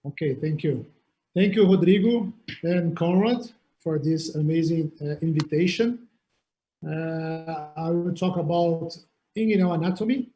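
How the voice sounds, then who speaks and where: A man with a Brazilian Portuguese accent lectures on anatomy over a video call, his voice a little high and soft-edged. He speaks haltingly, stumbling and repeating words as he searches for his English. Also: poor audio. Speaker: surgeon lecturer. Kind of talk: online lecture.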